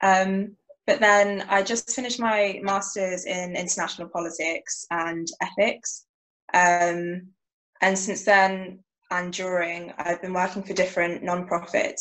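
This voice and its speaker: A woman's voice: on the low side, a bit bright, low-quality recording. A woman, introducing herself casually and ramblingly as a panel guest. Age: 20s. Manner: informal, rambling.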